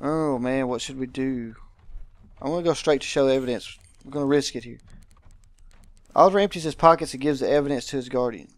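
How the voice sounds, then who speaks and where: A man's voice: medium pitch, fairly quick, dry recording. A man hosting a let's play, narrating and commenting casually with playful, wry asides.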